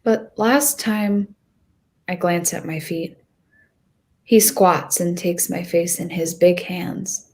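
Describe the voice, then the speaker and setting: A woman playing a hopeful, nervous young girl who tells her own storybook tale in a deliberate, expressive reading. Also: fairly low pitch. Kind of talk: first-person storybook narration.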